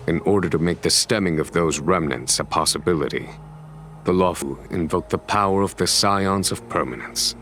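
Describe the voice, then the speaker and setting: Deep-voiced, grave commanding general. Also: male voice, a bit bright, slight background noise. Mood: solemn, explanatory. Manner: solemn, deliberate, formal. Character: grave, composed male general, measured and commanding. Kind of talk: scripted video game cutscene acting.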